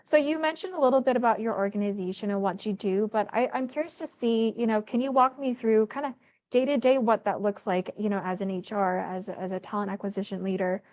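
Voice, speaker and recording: A woman hosts a conversational interview in a medium-pitched voice. She affirms what her guest says and recaps it in casual phrasing, then moves on to questions. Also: fairly even intonation, fairly quick, a little mellow. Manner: affirming, recaps answers before asking. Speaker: interviewer, moderator.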